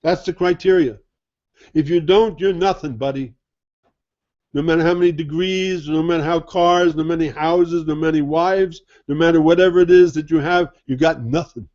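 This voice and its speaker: A man delivers a loose, conversational sermon, pitched a little high for a man but with a soft, mellow tone. He asks rhetorical questions as he teaches. The recording sounds dull and lo-fi. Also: normal speed, pauses often. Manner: conversational, rhetorical questions, mimics others' attitudes. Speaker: Sufi sheikh teaching. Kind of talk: informal spoken sermon.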